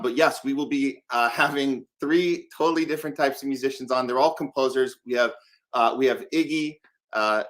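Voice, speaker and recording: A man with a mid-pitched voice hosts a live show. He talks conversationally and without a script, hesitating between phrases as he introduces things. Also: a bit bright. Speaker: show host.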